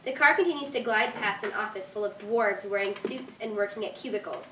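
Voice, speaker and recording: A woman's voice: medium pitch, brisk. Woman narrator in an echoey room. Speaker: narrator reading stage directions. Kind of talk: scripted narration at a staged reading. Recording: distant echoey room, faint noise.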